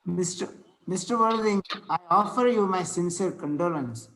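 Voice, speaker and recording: A man reading the part of a pious, pedantic, gentle clergyman in an amateur table read, formal and a little halting. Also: high voice, poor audio. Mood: solemn sympathy.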